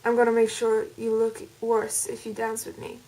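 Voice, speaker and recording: A flustered, awkward young woman at a ball, exasperated and defensive, as a woman reads her out in a put-on American accent. Her voice sits a little high. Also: monotone, normal speed, mellow, natural voice, low-quality recording.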